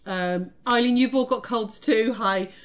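A woman's voice: fairly high pitch, unhurried. A woman in her forties who is full of cold, her voice snuffly and stuffed up. She chats in a bubbly, friendly way, greeting viewers one by one as she hosts a sewing tutorial. She is picked up by a desk webcam in her home craft studio. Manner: bubbly, greets viewers by name, laughs often. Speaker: craft shop owner hosting a live sewing tutorial. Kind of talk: chatty live stream.

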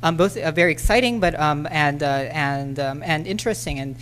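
A woman in her thirties speaking as a panelist at a podium in a lecture hall, halting, with many ums and restarts. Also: deep voice, a bit bright, a little hiss or hum. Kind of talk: conference talk with slides. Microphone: podium microphone.

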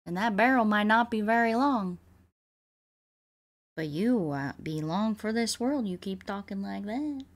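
A woman's voice: medium pitch, lively intonation, measured pace. A woman livestreamer giving playful game commentary in mock-bossy cowboy slang with a Southern US accent. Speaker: livestreamer playing a video game.

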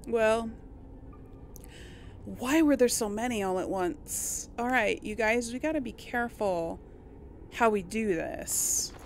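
A woman's voice: a little high, slow, long pauses, a little hiss or hum. A woman giving casual let's play commentary, chatting and thinking aloud to her viewers. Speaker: gaming video host.

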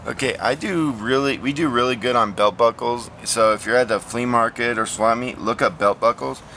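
A man's voice: mid-range voice, moderate pace, bright, forceful tone, slight background noise. A man hosting a casual vlog about flea market finds, talking plainly with many ums and restarts, recorded on a handheld phone camera. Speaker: flea market reseller vlogger.

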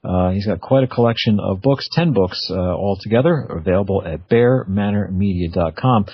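A man's voice: lowish voice, moderate pace, husky, no echo. A middle-aged man hosting a radio interview in a chatty, informal, conversational way. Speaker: radio show host. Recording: dull, band-limited radio audio.

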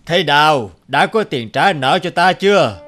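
A man voicing a cruel, vengeful village rich man in an animated folk tale, sneering and imperious. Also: a little high, unhurried, soft background music. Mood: gloating.

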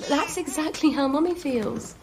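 An actress with an English accent playing an anxious, sharp-tongued, protective young mother in a video game drama. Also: high-pitched, normal speed, noisy background. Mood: tender, tearful.

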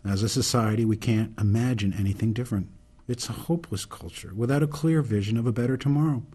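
A man acting in a radio drama as an earnest older reformer, delivering a deliberate, solemn, speechifying monologue. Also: medium pitch, dark tone, slightly rough, natural voice. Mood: grave, urgent. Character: earnest older male reformer laying out a grave case.

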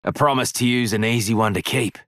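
A man's voice: mid-range voice, brisk, fairly bright tone, no room sound, natural voice. Game casting: male actor as flirty Aussie pirate rogue, cocky and teasing, casual drawl, close dry recording. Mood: teasing, affectionate. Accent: Australian. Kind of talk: video game character voice lines.

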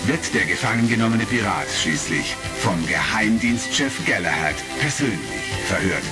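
A man's voice: lowish voice, very animated, moderate pace. A man narrating a retro radio play in a dramatic, scripted style. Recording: loud music, vintage crackle.

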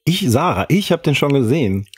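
A man's voice: mid-range voice, big pitch swings, brisk, slightly rough. A man on a podcast panel, talking in a casual chat style.

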